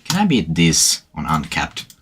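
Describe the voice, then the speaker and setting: Deep-voiced man streaming, chuckling asides. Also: a little slow. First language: not English. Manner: laid-back, chuckling, trailing off into quiet asides. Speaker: game streamer. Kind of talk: casual commentary while playing. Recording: steady background noise with clicking. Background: keyboard and mouse clicks.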